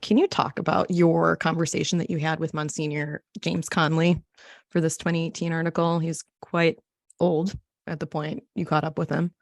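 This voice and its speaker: A woman's voice: deep voice, flat delivery, normal speed, creaky, no echo. A woman hosting a podcast, interviewing in a casual, conversational way.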